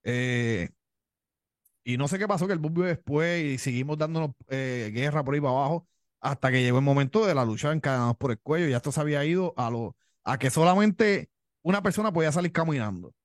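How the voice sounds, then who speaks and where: A man's voice: fairly high pitch, expressive, fairly quick, dry recording, clean studio sound. A man with a Cuban accent, a wrestler telling his story in an interview, boastful and tough, often repeating himself. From Cuba. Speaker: pro wrestler guest.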